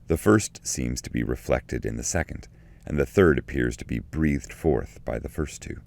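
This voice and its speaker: A man narrates literary commentary from a script. His voice is extremely deep, with a gravelly fry running through it. The delivery is solemn and deliberate, each phrase measured out. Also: darkish tone. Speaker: narrator and translator reading his edition.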